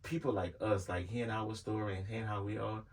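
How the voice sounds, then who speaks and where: A man's voice: fairly low pitch, fairly even intonation, a little fast, far from the mic, crowd noise. A man, talking candidly as an interview guest and repeating himself earnestly. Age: 30s. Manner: earnest, repeats himself, heavy with "you know what I'm saying". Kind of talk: casual candid conversation.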